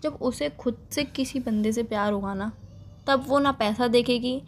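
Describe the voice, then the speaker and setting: A young woman on a phone call. She presses gently and reasons calmly to get someone to open up. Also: on the high side, moderate pace, slight background noise. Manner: persistent, coaxing, reasoning. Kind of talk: emotional phone conversation.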